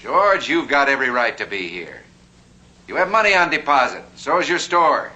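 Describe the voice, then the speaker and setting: A man's voice: medium pitch, sing-song, normal speed, sharp tone. A man narrating a vintage TV drama and playing a flamboyant, genial 1860s banker. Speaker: host and actor. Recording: muffled old TV soundtrack with hiss.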